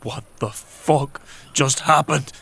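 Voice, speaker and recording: An actor playing a blunt, urgent rider barking orders in a dark fantasy audio drama. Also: male voice, medium pitch, slow pace, gravelly, breathy edge, exaggerated voice. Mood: urgent, alarmed. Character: urgent, foul-mouthed, commanding horse rider. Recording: music behind.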